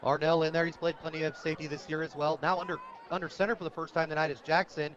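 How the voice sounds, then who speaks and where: A man calling live high school football play-by-play for radio, describing each moment in detail. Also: flat delivery, fast talker. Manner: descriptive, rising to shouts on big plays. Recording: dull, lo-fi radio broadcast.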